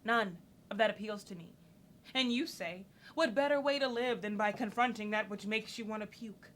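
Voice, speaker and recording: A woman's voice: medium pitch, moderate pace, fairly bright tone, slight background noise, close to an everyday voice. An actress playing a sarcastic, ambitious young woman scolding her partner in a theatrical monologue, cutting, articulate and wry. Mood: scornful, wry.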